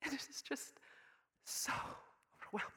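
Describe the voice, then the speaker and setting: A young woman playing Mary in a dramatic monologue, speaking emotionally and haltingly, often sinking into soft whispers. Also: natural voice. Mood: tearful, devoted. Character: devoted young woman, biblical Mary, deeply moved.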